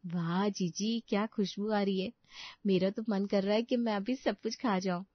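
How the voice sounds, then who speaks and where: Woman as a gentle young daughter-in-law, dry sound. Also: mid-range voice, fairly even intonation, moderate pace, no echo, dull audio, voice pushed up, close to an everyday voice. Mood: affectionate. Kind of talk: cartoon moral story voice acting.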